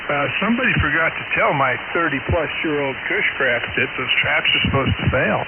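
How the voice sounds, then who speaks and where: A man's voice: a little high, lively intonation, a little fast. A man chatting casually as an amateur radio operator, dry and teasing, heard through a thin, hissing shortwave radio signal. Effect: received over shortwave radio. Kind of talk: casual ham radio chat. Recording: hissy, narrow, phone-like shortwave radio signal.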